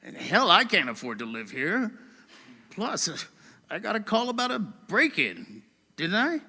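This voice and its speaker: A man's voice: high-pitched, wildly swooping pitch, unhurried, pushed and bright, slightly rough, long reverb tail, heavily acted. A man playing a defensive, flustered police officer in an audio drama.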